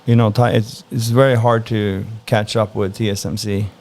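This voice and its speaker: Gravelly low-voiced man, relaxed guest. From Norway. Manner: relaxed, thoughtful, frequent "uh" pauses. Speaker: interview guest. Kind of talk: casual interview answers.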